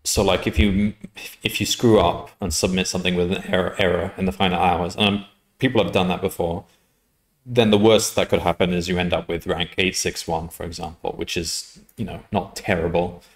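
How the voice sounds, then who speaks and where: A man's voice: low voice, steady tone, brisk. A man in his thirties chatting casually on a live coding stream at his desk, rambling and correcting himself, often laughing. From United Kingdom. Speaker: coding livestreamer. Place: home room at a desk.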